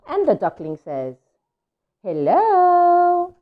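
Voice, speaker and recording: Woman, native Spanish speaker, storytelling for children, highly varied pitch, unhurried, expressive, dull lo-fi recording. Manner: playful, expressive. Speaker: mother reading a picture book aloud for children. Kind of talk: animated read-aloud storytelling. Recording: dull lo-fi audio.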